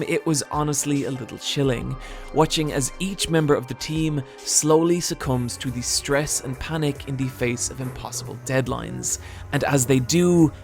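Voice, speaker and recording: A man's voice: medium pitch, gravelly. A man narrating a scripted video essay, with dry, wry asides. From Britain. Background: soft background music.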